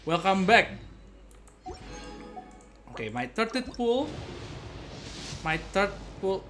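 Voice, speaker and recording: A young adult man giving casual, playful gaming commentary to his viewers like an excitable showman. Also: sits high, drawn-out words. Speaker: game streamer. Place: indoor gaming setup at home. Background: game music.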